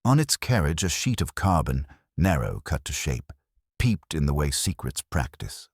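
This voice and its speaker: A man reading a scripted literary mystery as narrator, in a measured, formal storytelling manner. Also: rumbling low, very animated, husky, no echo, clean studio sound.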